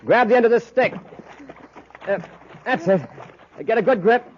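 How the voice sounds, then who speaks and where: An actor playing a heroic space patrol commander, authoritative and reassuring, in a 1950s radio serial. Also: male voice, extremely high pitch, normal speed, a little hiss or hum. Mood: urgent, reassuring. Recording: muffled old 1950s radio recording.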